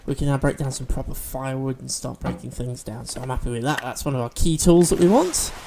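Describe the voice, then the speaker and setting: A man's voice: medium pitch, fast, gravelly, noisy background. A man hosting a let's-play video, chatting and explaining game mechanics as he plays, a bit sniffly from allergies. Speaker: gaming video host.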